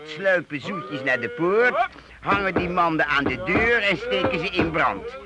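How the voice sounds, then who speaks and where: Fussy, high-voiced medieval master cooper. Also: male voice, big pitch swings, measured pace. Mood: practical, shrewd. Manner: penny-pinching, fussy. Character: thrifty, grumbling medieval master cooper leading townsmen in a siege. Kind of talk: Dutch radio play acting. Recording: very noisy old radio recording.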